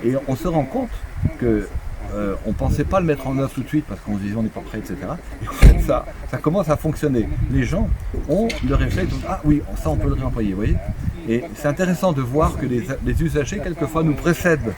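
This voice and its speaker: A man's voice: medium pitch, lively intonation, brisk, rough recording. A middle-aged man with a French accent, a local official interviewed on site, talking with many fillers and restarts. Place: outdoor recycling center. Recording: outdoor crowd noise.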